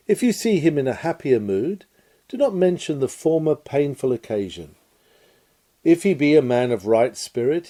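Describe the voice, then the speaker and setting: A man reading a lecture aloud as scripted narration, in a measured, emphatic, oratorical manner. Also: medium pitch, dark tone. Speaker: reader of a historic sermon lecture.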